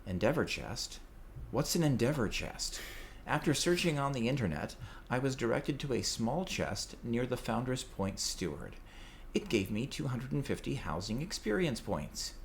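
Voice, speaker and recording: A man's voice: mid-range voice, big pitch swings, moderate pace, gravelly, slight background noise. A man reading a scripted, playful report as a podcast community correspondent. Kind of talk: scripted segment narration.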